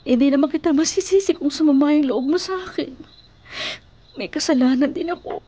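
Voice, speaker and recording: A woman's voice: high-pitched, a little fast, dark tone, natural voice. A woman with a Filipino accent acting a superstitious, emotional older sister who pleads earnestly in a radio drama. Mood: remorseful.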